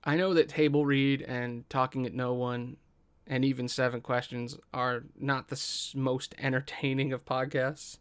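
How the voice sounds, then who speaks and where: A man's voice: mid-range voice, little pitch movement, moderate pace, clean tone. A man hosting and narrating a scripted table read podcast.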